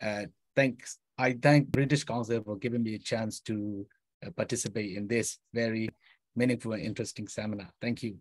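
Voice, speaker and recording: A man's voice: fairly low pitch, steady tone, moderate pace, dry recording, rough recording. A middle-aged man from Myanmar, formally and politely introducing himself as a teacher on a video call. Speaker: teacher panelist. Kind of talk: webinar self-introduction.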